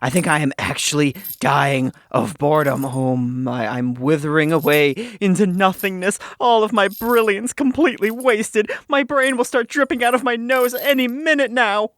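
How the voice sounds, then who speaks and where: A man's voice: sits high, normal speed, hardly any pauses, fairly bright tone. A man playing a dramatic, cocky, wisecracking prisoner in a comic sci-fi audio drama, whining flippantly. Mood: whiny, bored. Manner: theatrical, whiny, flippant. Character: dramatic, cocky, wisecracking space prisoner and self-styled medic.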